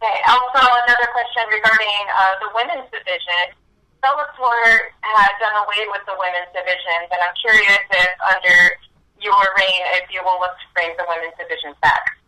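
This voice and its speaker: A woman's voice: mid-range voice, a little fast, pushed and bright, rough recording. A woman reporter asking politely structured questions on a telephone press conference call. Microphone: telephone line.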